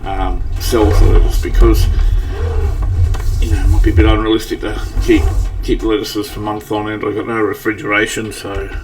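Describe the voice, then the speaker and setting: A man's voice: on the low side, flat delivery, normal speed, soft background music. A man giving casual gameplay commentary, thinking aloud in a rambling way with a slightly scratchy early-morning voice. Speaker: gaming channel host. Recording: distant mic, faint background noise.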